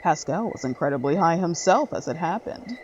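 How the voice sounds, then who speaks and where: A woman's voice: fairly low pitch, expressive, normal speed, dull audio, slight background noise. A woman reading scripted narration for a dramatic horror story.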